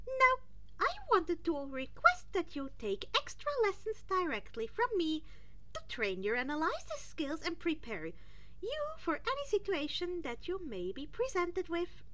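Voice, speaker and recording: Female reader as little male animal principal, storybook character, high-pitched, chirpy, shrewd, formal, animated intonation. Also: measured pace, heavily acted. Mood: chirpy, businesslike.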